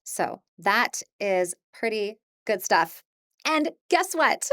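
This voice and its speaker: Female course presenter, medium pitch, informal and encouraging, talks like a mentor, very dry recording. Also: sing-song, takes it slowly, fairly bright tone, dry recording. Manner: chatty, encouraging, informal and self-deprecating. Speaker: audiobook narrator teaching an introductory course. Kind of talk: casual, conversational course presentation.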